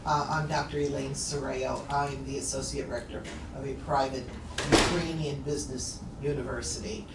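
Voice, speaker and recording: A woman's voice: extremely low pitch, steady tone, normal speed. A woman, a university associate rector, projecting her voice confidently as she asks a question from the floor. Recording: echoey room from far off, noisy.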